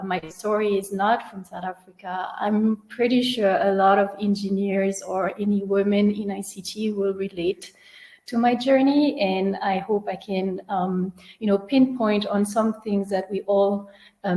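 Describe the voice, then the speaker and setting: A woman's voice: medium pitch, a little mellow. French-accented woman, 30s, guest speaker recounting her journey; earnest, reverberant room. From France. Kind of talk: webinar presentation.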